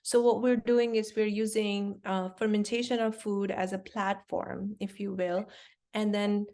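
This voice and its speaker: A woman in her forties from India, a company founder, explaining her work in a relaxed interview with lots of fillers and restarts. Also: medium pitch, a little slow. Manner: explains things simply with many fillers and restarts. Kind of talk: conversational interview.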